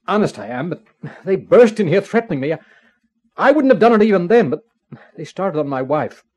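Anxious, coerced man, 1950s radio drama: hesitant, swooping pitch, higher register. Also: sing-song, fairly quick. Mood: distraught, apologetic. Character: nervous, apologetic man coerced by gangsters.

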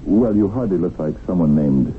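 Very deep, animated boss. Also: male voice, normal speed. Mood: surprised, charmed. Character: male business boss, bemused and gallant. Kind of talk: radio drama acting. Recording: tinny old radio recording with faint noise.

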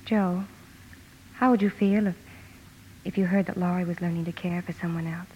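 Actress as a gentle elder sister, dull old recording. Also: female voice, fairly low pitch, steady tone, normal speed, a little hiss or hum, close to an everyday voice. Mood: caring, concerned. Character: gentle, caring married elder sister narrating family story. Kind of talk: 1950s radio drama narration and acting.

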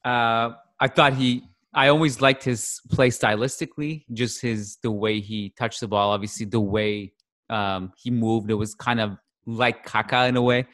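A man co-hosting a football podcast in a loose, casual chat. He rambles and restarts his sentences often as he works his thoughts out loud, at an ordinary mid pitch. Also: fairly even intonation, normal speed. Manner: rambling, self-interrupting, thinks aloud. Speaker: podcast co-host.

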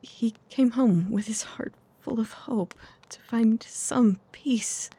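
A woman's voice: a little high, slow, mellow, breathy edge, no echo, exaggerated voice. A woman playing a composed but anxious young Victorian lady in an audio drama, speaking formally and earnestly. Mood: sorrowful.

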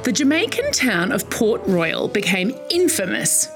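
A woman's voice: medium pitch, sing-song, measured pace, over music. A woman presenting a scripted educational podcast for children, speaking directly to young listeners with playful asides. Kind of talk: scripted educational narration for kids.

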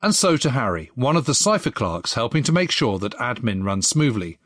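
Man, presenter, scripted narration, animated intonation, dry studio-like sound. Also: mid-range voice, a little fast, no echo. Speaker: podcast host and presenter. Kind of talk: scripted narration introducing readings.

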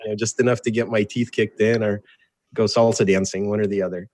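A man's voice: fairly low pitch, level tone, fast talker, darkish tone. A man in his fifties with an American accent, telling his life story conversationally as an interview guest, with wry, jokey asides. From born in Soviet Russia, grew up in the United States from age eight. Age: 50s. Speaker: interview guest, entrepreneur and author. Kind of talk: casual autobiographical storytelling in an interview.

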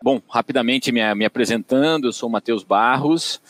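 A middle-aged man from Brazil with a caipira country accent from inland São Paulo. He talks as a guest in an interview at a steady, measured pace, stopping often to find his words. His pitch is in the middle range for a man. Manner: measured, with frequent hesitations. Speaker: guest, company director. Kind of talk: conversational interview.

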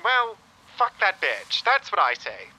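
A very bright, forceful male voice as a flamboyant, gushing ex-boyfriend in an audio comedy-drama. He rambles theatrically and swoops up and down in pitch, with faint noise behind him. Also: huge pitch swings, a little slow, full character voice, rough recording. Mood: supportive, sassy.

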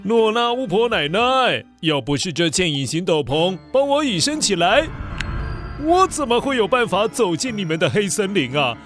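A man's voice: high voice, very animated, moderate pace, a bit bright, soft background music. A man voicing a polite, sheepish father in a children's audio story, chuckling and coaxing as he asks for a favor. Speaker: voice actor.

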